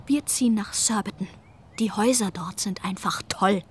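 A woman's voice: mid-range voice, moderate pace, slight background noise, natural voice. Woman doing a fussy suburban mom in a radio play, chatty and fretful, ordinary middle voice. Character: fussy suburban mother fixated on her house. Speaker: mother of two in a radio drama. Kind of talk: radio drama monologue.

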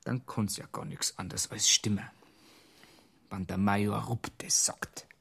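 Sullen, muttering Bavarian inspector. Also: male voice, lowish voice, steady tone, measured pace, vocal fry, a bit bright, husky, exaggerated voice. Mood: bitter muttering. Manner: muttering, dry, sarcastic. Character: bitter, sullen, laconic police inspector. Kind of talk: radio drama acting.

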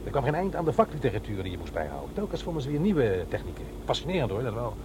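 An actor playing a middle-aged divorced father in a radio drama, explaining himself in a halting, defensive way. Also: mid-range voice, very animated, lightly acted. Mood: earnest, explaining. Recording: clear vehicle noise behind voice. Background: car driving ambience.

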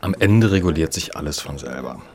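Deep-voiced, self-assured businessman. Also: lively intonation, a little fast, darkish tone. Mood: dismissive, self-assured. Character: brusque businessman chairing a meeting, smugly dismissive of street trouble. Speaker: company executive. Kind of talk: naturalistic radio drama acting.

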